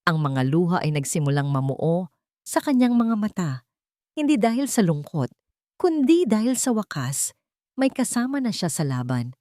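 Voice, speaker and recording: Female audio-story narrator, low register, dramatic scripted delivery, very dry recording. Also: very animated, moderate pace, warm tone, dry recording.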